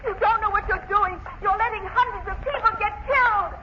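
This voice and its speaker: Alarmed young heroine, very high voice, insistent, pleading, muffled old radio drama. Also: female voice, brisk. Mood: desperate. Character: urgent, insistent young woman raising the alarm. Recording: muffled old radio recording, faint noise.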